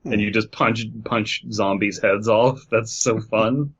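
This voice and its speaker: A man's voice: fairly low pitch, fairly even intonation, takes it slowly, husky, highs cut off. A man co-hosting a podcast, chatting casually about video games in an emphatic way. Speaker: podcast co-host.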